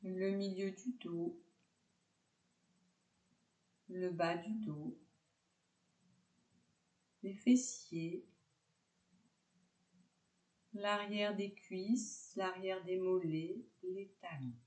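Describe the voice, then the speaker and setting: Slow, low-voiced woman guiding relaxation. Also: reverberant, poor audio. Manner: calm instructions in short, separated phrases. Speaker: yoga nidra teacher. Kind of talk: guided relaxation meditation.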